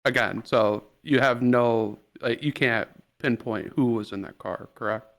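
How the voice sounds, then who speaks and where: A man playing a trial lawyer in improvised roleplay, questioning in a halting, repetitive, insistent way. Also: mid-range voice, fairly even intonation, fairly bright tone. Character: pushy, rambling male trial lawyer.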